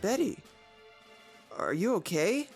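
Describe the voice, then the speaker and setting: A loyal young sidekick , as an actor performs it, sounds earnest and worried. The voice is highly animated in pitch, radio-serial style, with clear music behind it. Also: takes it slowly, heavily acted. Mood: concerned. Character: anxious, loyal young sidekick and childhood friend.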